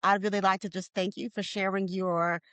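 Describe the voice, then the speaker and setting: A woman's voice: mid-range voice, level tone, a little fast, no room sound. Encouraging female interviewer, dry room. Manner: warm, encouraging, laughs along. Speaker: interviewer, host. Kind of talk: interview questions.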